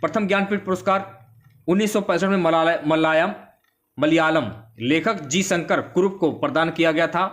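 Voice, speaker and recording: A man with a slightly high voice speaks as an exam-prep teacher. He explains in an informal lecture style, going from question to answer and repeating key phrases as reminders. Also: moderate pace, fairly bright tone.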